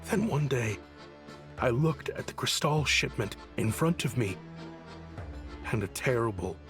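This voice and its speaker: A man's voice: medium pitch, expressive, a little slow, fairly bright tone, soft background music. An actor playing a guilty man caught stealing, who talks in a theatrical, pleading, hesitant way in a video game. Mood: anguished pleading.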